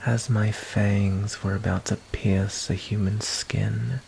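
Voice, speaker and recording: Guy doing a calm menacing vampire for asmr, gravelly n whispery, real drawn out, bit of background noise. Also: fairly low pitch, full character voice, low-quality recording. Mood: amused, toying. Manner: slow, deliberate, hushed delivery slipping into breathy whispers. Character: predatory vampire hunter, calm, menacing, toying with prey. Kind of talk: ASMR narrative roleplay, scripted first-person narration.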